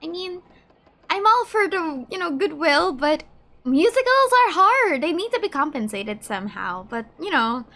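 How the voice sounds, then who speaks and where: A woman's voice: high-pitched, very animated, normal speed, a bit bright. A woman gaming streamer giving chatty, informal, reactive commentary as she plays. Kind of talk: casual gameplay commentary. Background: game music.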